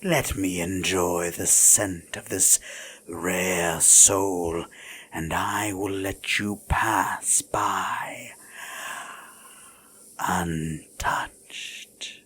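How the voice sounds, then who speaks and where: Ancient regal serpentine demon king, low and extremely unhurried, breathy, leering menace for fantasy audio drama. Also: steady tone, sharp tone, exaggerated voice. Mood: teasing, bargaining. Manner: slow, drawn-out, breathy, menacingly teasing. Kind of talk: fantasy audio roleplay acting.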